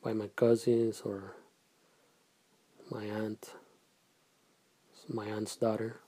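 A middle-aged man from Guatemala, answering questions in a casual family interview, halting and soft-spoken with pauses and restarts. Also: on the low side, level tone, breathy edge. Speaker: interviewee, father.

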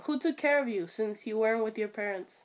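Higher-voiced woman asking plain questions. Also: fairly quick, low-quality recording. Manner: asks short, plain questions one after another. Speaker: interviewer, the man's daughter. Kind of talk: casual family interview.

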